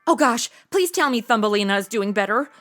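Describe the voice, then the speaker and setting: A woman's voice: on the high side, expressive, brisk, a bit bright, faint music behind. A woman playing a flippant, impatient young female sleuth, sarcastic and slangy, in a comedy audio drama. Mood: fake earnest.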